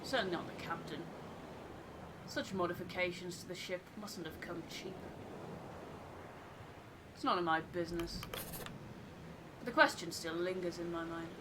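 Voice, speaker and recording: A woman voicing a thoughtful, wary first mate who narrates a ship's log in a measured, literary way for a game. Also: lowish voice, background noise, close to an everyday voice. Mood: reflective, wary.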